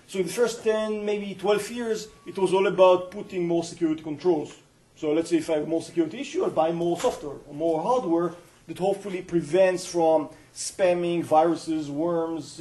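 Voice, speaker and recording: Mellow-voiced man with an Israeli accent. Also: fairly high pitch, low-quality recording. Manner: earnest, explanatory, with frequent hesitations. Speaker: company founder giving a guest lecture. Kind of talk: university colloquium talk. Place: university lecture room.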